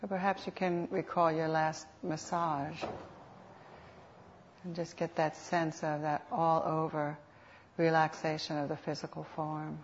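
A woman teaching guided meditation in an unhurried, soothing manner with reflective pauses, on a dull lo-fi recording. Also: low voice, little pitch movement, a little hiss or hum. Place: meditation center. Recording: dull, lo-fi live talk recording.

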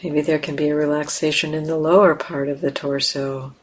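A woman leading a guided meditation, speaking calmly and gently with long, unhurried pauses. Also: deep voice. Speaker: meditation teacher.

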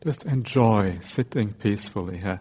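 A man teaching guided meditation in short, unhurried phrases, often broken by hesitant "uh"s. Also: fairly low pitch, mellow, husky.

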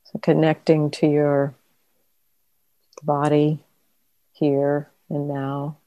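Woman meditation teacher, really slow and mellow, gentle w lots of long pauses. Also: low-pitched, monotone, no room sound, lo-fi sound. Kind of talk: guided meditation. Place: meditation center.

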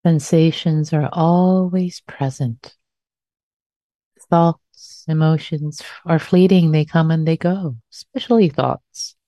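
Slow, soft-spoken woman guiding meditation. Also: sits low, no echo, highs cut off. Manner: gentle, soft-spoken, unhurried with repeated phrases. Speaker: meditation teacher. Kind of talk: guided meditation.

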